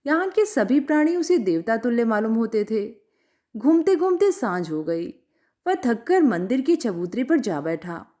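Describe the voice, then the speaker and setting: High-pitched, animated woman storyteller. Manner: expressive, acts out dialogue. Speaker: storyteller reading short fiction aloud. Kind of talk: scripted story narration, audiobook-like.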